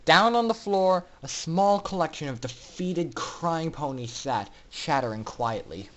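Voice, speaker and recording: A man's voice: fairly high pitch, expressive, unhurried, fairly bright tone, slight background noise. A man reading a story aloud as a dramatic amateur narrator, theatrical and emphatic in his delivery. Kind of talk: dramatic fan fiction reading.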